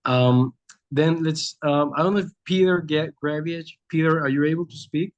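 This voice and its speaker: A man's voice: a little high, steady tone, moderate pace, no room sound. A man hosts a business webinar over a video call. He is easygoing and a bit loose in his phrasing. The audio sounds dull, muffled and dry. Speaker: webinar host.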